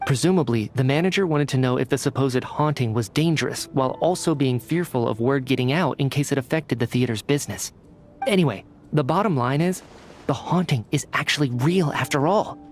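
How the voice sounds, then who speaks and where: An actor playing an earnest, careful young male researcher in a video game, speaking in a deliberate, articulate way as he reasons aloud. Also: mid-range voice, soft background music, lightly acted. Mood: analytical. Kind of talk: anime-style video game cutscene acting.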